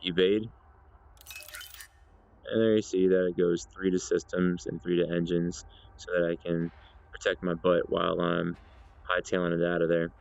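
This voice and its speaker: A man's voice: low-pitched, little pitch movement, normal speed, vocal fry, long pauses, darkish tone, muffled recording. A man presenting a casual gaming demonstration, explaining things and correcting himself as he goes.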